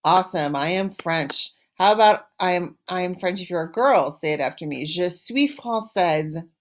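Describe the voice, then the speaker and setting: A woman's voice: on the low side, animated, moderate pace. A woman teaching a language class, encouraging and instructive, carefully enunciating phrases for learners to repeat. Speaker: French teacher. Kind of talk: language lesson with call-and-repeat.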